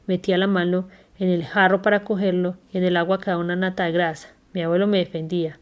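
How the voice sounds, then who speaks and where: Woman reading a memoir aloud, low voice. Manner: unhurried, careful reading with small slips and self-corrections. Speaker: reader.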